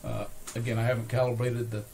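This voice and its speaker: A man giving an unscripted, hands-on repair demonstration, explaining step by step with hesitant pauses. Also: medium pitch, flat delivery, gravelly. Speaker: host, electronics repair demonstrator. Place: small cluttered indoor electronics workbench. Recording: noisy workshop recording with equipment sounds.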